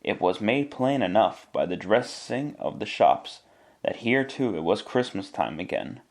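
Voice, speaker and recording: Low-voiced man narrating a classic story. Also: lively intonation, unhurried, gravelly. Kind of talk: scripted storytelling narration in classic radio style.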